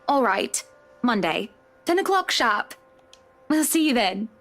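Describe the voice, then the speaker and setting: High-voiced, imperious society wife. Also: female voice, big pitch swings, slow pace, fairly bright tone, full character voice. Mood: brisk, teasing. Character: wealthy, breezy, imperious married socialite woman. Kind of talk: visual novel voice acting. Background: background music.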